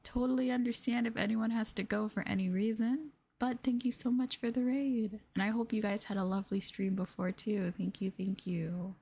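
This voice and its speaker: A woman streamer talking softly and gently in an ASMR live chat, often dropping into a whisper, close to the microphone. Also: medium pitch, fairly even intonation, moderate pace, gravelly, hardly any pauses, husky. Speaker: VTuber streamer. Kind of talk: soft-spoken ASMR livestream chat.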